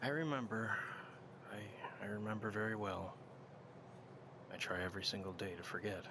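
An actor playing a gentle, fragile patient who speaks in a halting, fearful way. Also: male voice, on the low side, steady tone, background noise, close to an everyday voice. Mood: haunted. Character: fragile haunted older male patient.

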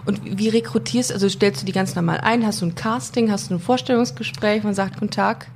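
Plaudernde, witzelnde Podcast-Moderatorin. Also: female voice, mid-range voice, a little fast, slight background noise. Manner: chatty and jokey, with frequent fillers and restarts. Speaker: podcast host. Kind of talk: casual interview chat.

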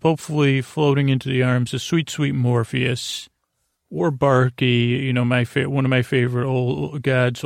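A man with a creaky voice hosting a bedtime podcast, talking in a meandering, halting, digressive monologue meant to lull listeners to sleep. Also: mid-range voice, dry recording, clean studio sound. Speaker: podcast host.

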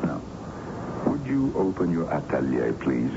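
Deep, domineering colonel, crackly radio. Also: male voice, big pitch swings, unhurried, full character voice. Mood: quietly menacing. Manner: commanding, self-assured. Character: domineering, smooth-talking American colonel with veiled menace. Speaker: actor in a radio drama. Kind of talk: 1970s radio drama acting. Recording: noisy, muffled old radio broadcast.

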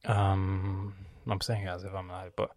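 Gravelly-voiced man rambling on his podcast. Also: deep voice, flat delivery, normal speed, darkish tone, no room sound. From Lebanon. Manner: rambling, profane, loses his thread. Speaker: podcast host. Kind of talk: casual rambling monologue.

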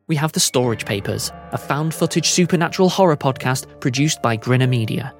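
Man reading a podcast announcement over music. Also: mid-range voice. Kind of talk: scripted promotional announcement. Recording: music bed behind the voice.

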